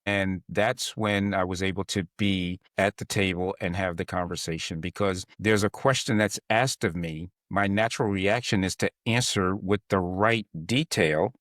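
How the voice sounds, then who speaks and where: A man hosting an interview, sharing his own work stories in a searching, halting way before asking his question. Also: low voice, steady tone, a bit bright, dry recording, clean studio sound. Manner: searching, halting, with restarts and reflective anecdotes. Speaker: podcast host.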